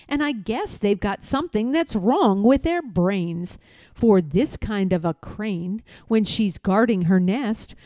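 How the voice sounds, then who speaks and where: A woman reads a rhyming children's story in a mellow, softly toned voice. Her delivery is playful and emphatic, swinging widely up and down in pitch. The recording is close and dry. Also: no room sound. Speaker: storyteller reading aloud. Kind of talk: expressive read-aloud of a rhyming children's storybook.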